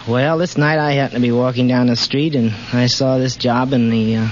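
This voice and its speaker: A man's voice: mid-range voice, steady tone, brisk. A man answering a quiz host casually and a little hesitantly, as a contestant on a 1940s radio show. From United States, California. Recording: dull, noisy 1940s radio recording.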